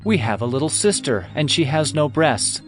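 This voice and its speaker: A man's voice: on the high side, big pitch swings, normal speed, faint music behind. A man playing a protective, deliberating brother in a dramatized scripture audiobook. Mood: concerned, resolute. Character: protective brother deliberating over his little sister. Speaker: actor in a dramatized Bible audiobook.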